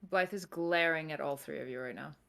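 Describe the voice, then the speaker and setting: A woman playing a tabletop roleplaying game online, talking in and out of character, often whispering and then bursting out loudly. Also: deep voice, brisk, vocal fry. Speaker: player.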